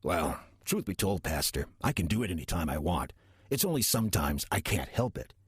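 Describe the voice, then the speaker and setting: An older demon hiding out as a church usher, played by a man, speaks in a low, very husky voice. His sarcasm is dry and deadpan, with plenty of rise and fall, as in a horror audio drama. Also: fairly quick, no room sound, full character voice. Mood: calm, dry. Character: wry demon posing as a 63-year-old church usher. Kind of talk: audio drama acting with first-person narration.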